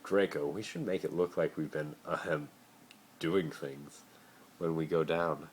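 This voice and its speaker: A man's voice: extremely low pitch, fairly even intonation, unhurried. A man voicing a cheeky, slightly sheepish teenage boy in a story reading, teasing as he talks. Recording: noisy amateur phone recording.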